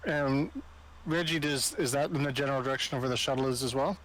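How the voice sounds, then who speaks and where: A man's voice: mid-range voice, quick pace, a bit bright, poor audio. A man chatting casually as a player in a tabletop roleplaying game.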